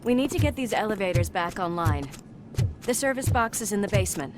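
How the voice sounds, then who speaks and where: An actress playing a tough, loyal, wry American police officer in a video game. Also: female voice, medium pitch, expressive, brisk, loud music behind the voice, natural voice. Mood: wry, businesslike. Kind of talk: video game cutscene acting.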